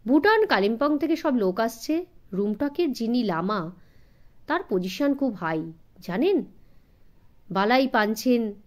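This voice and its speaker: Chatty, deferential gentleman for an audiobook tale , acted by a woman, lively pitch swings, nervously eager to explain. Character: smiling, chatty, nervous middle-class gentleman informant. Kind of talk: storybook audiobook dialogue.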